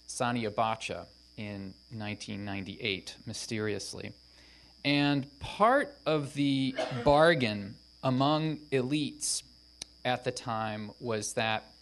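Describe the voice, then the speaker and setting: A man's voice: mid-range voice, a little hiss or hum. A male professor speaking at an academic panel into a microphone, conversational with frequent ums. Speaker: professor of African politics. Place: conference room. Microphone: microphone at a podium.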